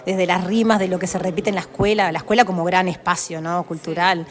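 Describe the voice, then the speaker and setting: A woman with a Uruguayan accent interviewing a guest in a relaxed chat, affirming often and tagging her phrases with questions. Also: fairly low pitch, brisk. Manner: warmly affirming, ends phrases with tag questions. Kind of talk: casual conversational interview.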